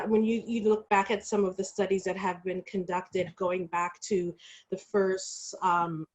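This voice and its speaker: A woman's voice: on the low side, rough recording. A woman speaking as an educator on a discussion panel, explaining things with careful, thoughtful and fairly formal phrasing.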